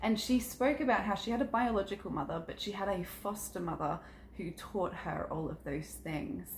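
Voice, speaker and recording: A woman's voice: medium pitch, moderate pace, a little hiss or hum. A woman around thirty, a psychic content creator, introducing herself in a chatty, earnest vlog and telling stories about her family and spirituality, with frequent ums. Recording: roomy echo with faint background ambience.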